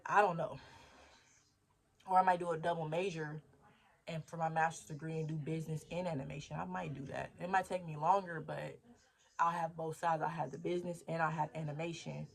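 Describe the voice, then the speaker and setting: A woman's voice: sits low, gravelly. A woman in her twenties talking through her plans in a casual, rambling diary vlog, thinking aloud and cheering herself on. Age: 20s. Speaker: vlogger.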